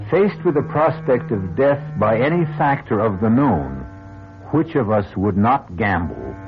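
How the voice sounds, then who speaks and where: An actor as a solemn radio mystery narrator, delivering grave, theatrical, rhetorical narration. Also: male voice, fairly low pitch, slight background noise. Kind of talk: dramatic scripted radio narration. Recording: dull old radio, music underneath. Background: dramatic music.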